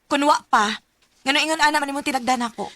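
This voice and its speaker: A woman's voice: high-pitched, fast talker, bright, forceful tone, no echo. A woman playing a sensible, loyal young student in a radio drama, earnest and conversational, with a Cebuano accent. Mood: worried.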